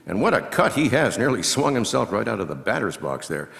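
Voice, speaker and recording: A man playing a seasoned, folksy baseball radio announcer, giving play-by-play with measured asides that break into punchy, drawn-out calls. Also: on the low side, sing-song, gravelly, fairly bright tone, heavily acted. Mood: punchy, excited. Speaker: reader performing a scripted baseball commentary. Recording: long hall reverb from live venue.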